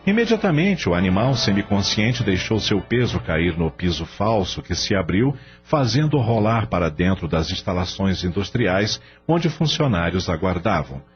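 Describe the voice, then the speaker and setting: A man's voice: deep voice, very animated, moderate pace, few pauses, lo-fi sound. A Brazilian man narrating a radio novel in a dramatic scripted style. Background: music.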